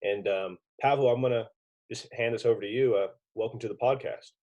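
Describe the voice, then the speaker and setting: A young man hosting a podcast, speaking in a relaxed, polite way with fillers. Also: mid-range voice, brisk, lo-fi sound. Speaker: podcast host. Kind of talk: conversational interview.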